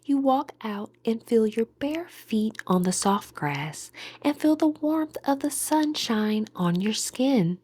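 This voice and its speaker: Slow-paced woman guiding a meditation. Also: a little high, no room sound. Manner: soothing, deliberate, with gentle pauses. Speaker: meditation guide. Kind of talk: guided meditation narration.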